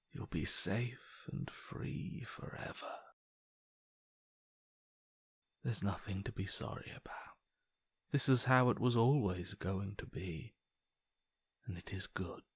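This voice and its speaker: A man acting a broken, submissive inner voice in a video game, slow, hushed and breathy, resigned and reverent. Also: lowish voice, exaggerated voice. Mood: resigned, devoted. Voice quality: hushed, breathy, half-whispered. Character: broken, submissive male inner voice, devoted and defeated.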